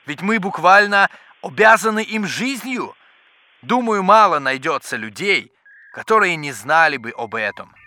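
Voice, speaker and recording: Excitable bookish scientist, high and bright. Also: male voice, measured pace, exaggerated voice. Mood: eager, pedantic. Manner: theatrical, pedantic, exclamatory. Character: excitable, bookish male scientist, absorbed in microbes. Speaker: scientist character. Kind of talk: children's educational audio drama.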